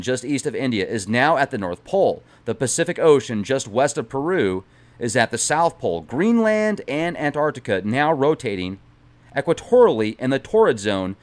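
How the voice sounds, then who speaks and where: A man streaming as he reads a book aloud dramatically, breaking off now and then for chatty digressions to his viewers. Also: mid-range voice. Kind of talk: reading a book aloud with casual asides.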